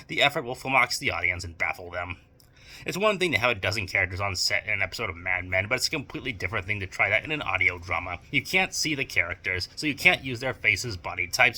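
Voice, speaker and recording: A man's voice: medium pitch, sharp tone. A man presenting a scripted instructional video essay, speaking in a formal, bookish and emphatic way. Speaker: video presenter giving writing advice.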